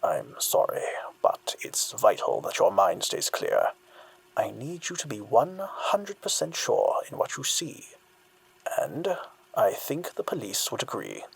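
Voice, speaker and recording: An actor playing a calm, controlling counselor in an audio drama, with a husky, gravelly voice, measured and insistent. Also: mid-range voice, full character voice, rough recording. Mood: firm, hushed. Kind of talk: scripted audio drama acting.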